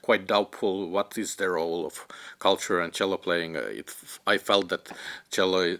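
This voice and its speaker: A young man who grew up in Finland with Ukrainian as his first language, speaking haltingly in a documentary interview with many fillers and restarts as he searches for words. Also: on the low side, fairly bright tone. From Ukraine, raised in Finland. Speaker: interviewee, cellist and filmmaker.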